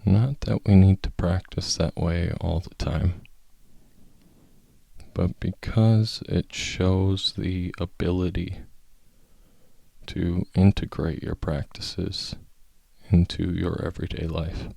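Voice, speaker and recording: A man leading a guided meditation, speaking in a calm, measured, gently instructive manner with long pauses. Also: sits low, vocal fry, no room sound.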